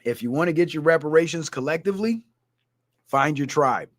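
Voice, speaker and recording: A man's voice: a little high, expressive, a little fast, fairly bright tone, no room sound. A man from Guyana ranting through a political commentary livestream, heated and blunt, reading viewer comments aloud. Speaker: streamer.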